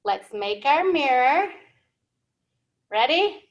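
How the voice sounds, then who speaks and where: A woman's voice: high-pitched, sing-song, slow, dull audio. An American woman in her thirties hosting an interactive storytime for young children, speaking in simple, encouraging, teacherly phrases and asking questions. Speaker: library children's storytime host. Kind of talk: interactive storytime lesson for young English learners. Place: indoor library room, seated at a whiteboard.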